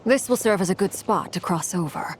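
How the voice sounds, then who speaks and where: A woman voicing a composed, regal woman of authority in a video game, speaking in a measured, formal, cool manner. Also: mid-range voice, slight background noise, close to an everyday voice. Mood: cool, solemn. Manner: measured, formal, self-possessed.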